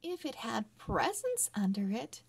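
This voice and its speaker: A woman voicing an eager, wistful little girl in a children's Christmas storybook, slow, sing-song and emphatic. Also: on the high side, sing-song, creaky, darkish tone, breathy edge, full character voice. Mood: wishful.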